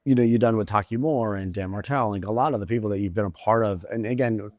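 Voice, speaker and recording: Host: man, lowish pitch, dry sound, meandering interviewer. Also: fast, darkish tone, no room sound. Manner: rambling, hedging with kind of and I mean. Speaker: podcast host, coach. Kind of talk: podcast interview.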